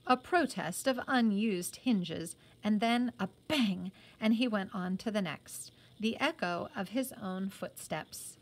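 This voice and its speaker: A woman's voice: medium pitch, takes it slowly, few pauses. A woman reading a novel aloud as a teacher would, with short explanatory asides.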